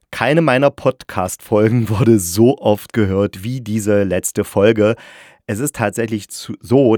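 Man, podcast host, medium pitch, casual reviewer's delivery, chatty, self-correcting, dry recording. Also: no echo.

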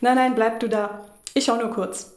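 Woman as a scheming accomplice, higher voice, roomy. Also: steady tone, moderate pace, close to an everyday voice. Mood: casual. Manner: coaxing, matter-of-fact about murder. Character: scheming night-shift care worker, cannibal's loving accomplice. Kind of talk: amateur crime radio play acting. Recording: echoey room.

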